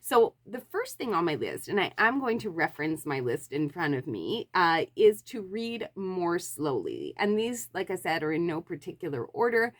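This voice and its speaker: A woman's voice: on the low side, sing-song, normal speed, few pauses. Chatty stuffy-nosed woman book vlogger. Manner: rambling, self-correcting, many fillers. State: has a cold, slightly nasal. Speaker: book YouTuber. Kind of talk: casual chat to camera.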